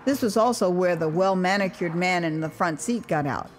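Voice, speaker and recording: A woman's voice: on the low side, moderate pace, soft background music. An American woman narrating her own memoir as an audio drama, in a wry, conversational storytelling manner. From United States. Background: street ambience and vehicle sound effects.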